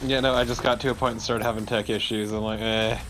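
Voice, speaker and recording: A man's voice: lowish voice, flat delivery, quick pace. A man chatting casually as a let's play co-commentator, conversational and prone to swearing. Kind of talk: casual chat over gameplay. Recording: clearly noisy home recording. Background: background noise.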